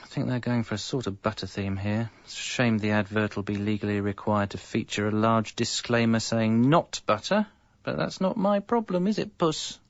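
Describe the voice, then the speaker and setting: An actor playing a hapless, self-pitying separated dad in a radio comedy, rueful and wry as if talking to himself. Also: male voice, lowish voice, moderate pace, no room sound, lo-fi sound, close to an everyday voice. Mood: muttering, distracted. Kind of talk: radio comedy monologue and dialogue.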